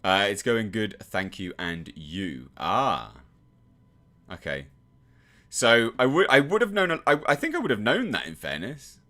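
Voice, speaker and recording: A chatty man from England hosts his own livestream, reading comments and rambling in a self-deprecating way. His voice is on the low side but bright, and it swoops up and down with a lot of life. He talks into a desk mic in a home setup. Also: sing-song, a little slow. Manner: chatty, self-deprecating, lots of restarts and fillers. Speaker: channel host reading live chat. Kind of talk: casual livestream chat.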